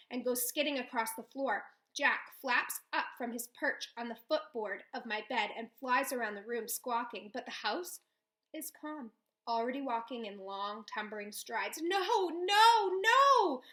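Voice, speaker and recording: Woman reading a kids' book out loud, bright voice, a bit high, really expressive. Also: a little slow. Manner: expressive first-person storytelling. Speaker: read-aloud host. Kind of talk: reading a children's novel aloud.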